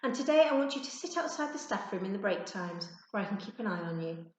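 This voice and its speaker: A woman's voice: medium pitch, animated, quick pace, very echoey, natural voice. A woman voicing an irate male schoolteacher in a children's story, barking orders and drawling with stern sarcasm. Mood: sarcastic, stern.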